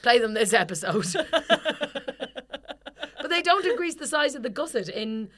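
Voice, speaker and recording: Swearing, ranting woman, bright voice. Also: on the high side, big pitch swings, normal speed. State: slightly husky voice. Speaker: podcast co-host. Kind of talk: casual comedic chat.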